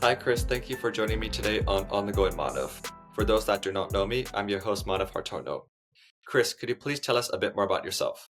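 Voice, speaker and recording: A man's voice: on the low side, lively intonation, fast, fairly bright tone, soft background music. A young man from Hong Kong hosting an interview podcast, asking polite, scripted-sounding questions. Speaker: podcast host.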